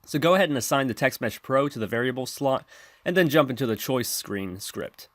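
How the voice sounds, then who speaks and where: A man narrating a software tutorial in an instructional, step-by-step manner. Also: mid-range voice, expressive, a little fast, no room sound. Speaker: tutorial presenter.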